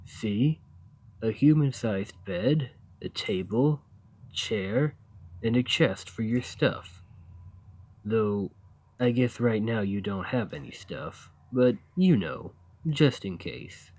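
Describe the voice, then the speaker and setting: A man playing a friendly, naive, awkward giant who lives in a cave, in an ASMR roleplay, speaking in a hesitant, earnest, gently upbeat way. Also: lowish voice, expressive, slow pace, slightly rough, exaggerated voice. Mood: helpful. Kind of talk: ASMR roleplay, acted monologue to the listener.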